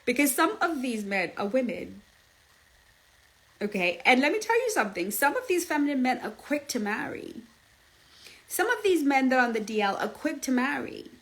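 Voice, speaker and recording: Zimbabwean woman, relationship coach, emphatic and lively, keeps checking in with listeners. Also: high voice, moderate pace, rough recording. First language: Shona. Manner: emphatic, lively, laughs often, repeats "okay?" and "do you understand?". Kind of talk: casual advice talk.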